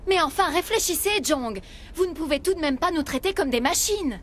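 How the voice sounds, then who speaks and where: An actress playing an earnest, guilt-ridden young researcher in a dramatic game dub, speaking anxiously. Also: female voice, sits high, lively intonation, brisk, fairly bright tone, slight background noise. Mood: pleading, earnest.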